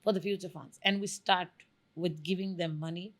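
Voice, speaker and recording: A woman's voice: fairly low pitch, steady tone, normal speed. A woman from Bangladesh, answering interview questions emphatically and enthusiastically. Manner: emphatic and enthusiastic, lists examples. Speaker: guest, venture studio founder.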